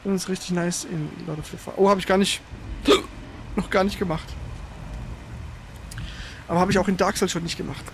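A man with a high-pitched voice gives casual commentary while playing a video game. He speaks colloquially and throws in exclamations at the game. There is some faint noise behind him. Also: fairly even intonation, moderate pace, pauses often. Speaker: gamer, let's play host.

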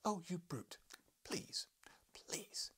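A man voicing an indignant, scolding wife in an audiobook, with a breathy voice. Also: very slow, fairly bright tone, whispered. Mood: indignant, pleading. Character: indignant, scolding wife of a professor, high-strung woman. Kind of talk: audiobook character voice.

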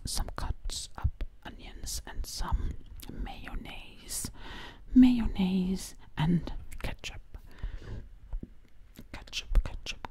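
A young woman with a Dutch accent whispers breathily, close to the mic, in a rambling ASMR style, repeating words over and over. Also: medium pitch. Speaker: ASMR performer in a zombie princess role play. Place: dim living room indoors. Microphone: close to the mic, ear to ear.

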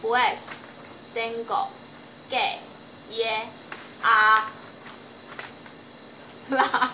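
A Taiwanese woman in her twenties, a native Chinese speaker and language student, answering questions slowly and carefully in a home video from a small bedroom. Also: a little high, little pitch movement, sharp tone, reverberant, a little hiss or hum, rough recording. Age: 20s. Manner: slow, careful learner's speech with halting restarts. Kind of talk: self-recorded homework video interview.

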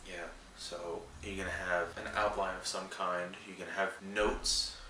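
A young man with a low voice talks to camera in a rambling, casual way, his voice a little raspy from a cold. He sits a few feet from the mic in a small room at night, so the sound is roomy and distant. A faint buzz hums behind him. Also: level tone, unhurried, sharp tone, slight background noise. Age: young adult. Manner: rambling, many fillers and restarts. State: recovering from a cold, slightly raspy. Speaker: vlogger. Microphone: camera a few feet away.

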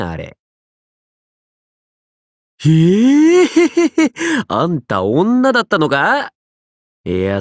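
An actor playing a roguish, easygoing young martial artist assassin in an anime mobile game, with a cocky, theatrical swagger. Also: male voice, on the high side, no room sound, clean studio sound. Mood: breezy, playful, then amused surprise.

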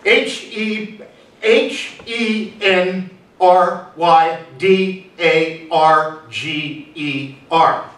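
A man playing an odd, withdrawn loner in a staged reading, halting, evasive and cryptic. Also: high voice, a bit bright, heavily acted. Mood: guarded. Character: odd, withdrawn male loner muttering in riddles. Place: small performance room with audience, far camera. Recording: distant echoey room with faint noise.

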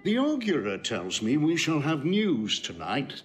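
An actor playing a witty, sardonic Roman patrician, teasing with hesitant mock-politeness in Shakespearean comic style. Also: male voice, fairly high pitch, wildly swooping pitch, a little slow, music in the background. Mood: wry. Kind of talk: classical Shakespearean comic acting.

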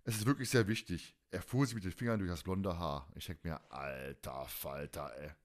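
A man's voice: deep voice, normal speed. Man chatting casually as a podcast co-host. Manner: rambling, repeats phrases, colloquial. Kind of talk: casual podcast chat, recapping an audio drama.

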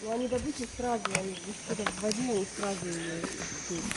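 A woman's voice: medium pitch, fast, very noisy. A woman chatting casually as a companion on an outing, a little away from the camera outdoors. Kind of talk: casual chat. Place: outdoors at a crocodile farm.

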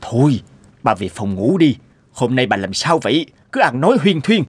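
Mild, husky older father-in-law. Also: medium pitch, big pitch swings, moderate pace, dry recording. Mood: chiding. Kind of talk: cartoon dialogue.

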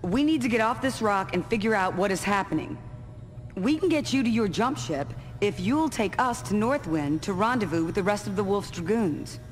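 A woman's voice: mid-range voice, lively intonation, moderate pace, big-room sound, soft background music, lightly acted. An actress playing a tough, terse female military major in a video game cutscene, speaking with dry authority. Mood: businesslike.

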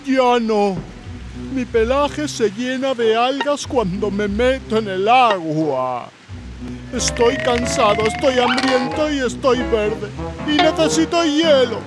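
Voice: medium pitch, lively intonation, slow. An actor voicing a big, friendly, plain-spoken polar bear in a children's cartoon. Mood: weary. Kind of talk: animated children's cartoon dubbing. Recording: music behind the voice.

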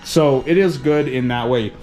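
A man from Canada casually unboxing and installing gear in his home gym, chatty and relaxed, with small restarts as he works. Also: medium pitch, normal speed, faint music behind. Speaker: fitness vlogger.

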